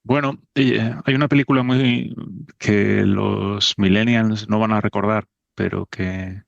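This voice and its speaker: A man's voice: fairly low pitch, steady tone, a little slow, creaky, no echo, lo-fi sound. A middle-aged man from Spain, a podcast guest talking in a thoughtful, self-deprecating way. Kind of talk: casual conversation.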